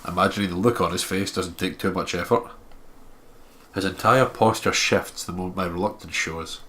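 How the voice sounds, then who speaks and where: A man's voice: low-pitched, fairly even intonation, normal speed, bright, forceful tone. A man co-hosting a let's play, casually chatting and reading story narration aloud. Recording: clear background noise.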